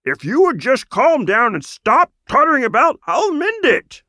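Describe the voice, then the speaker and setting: Man doing a high, flustered animal wizard. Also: huge pitch swings, normal speed, pushed and bright, dry recording, clean studio sound, exaggerated voice. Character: flustered croaking male wizard healer animal.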